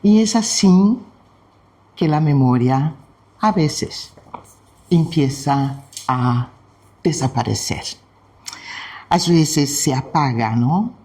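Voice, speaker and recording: Slow, gentle Brazilian man speaking Spanish. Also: on the high side, animated. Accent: Brazilian Portuguese. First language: Portuguese. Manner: gentle, unhurried, with frequent pauses. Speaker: spiritist study presenter. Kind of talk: devotional talk with storytelling.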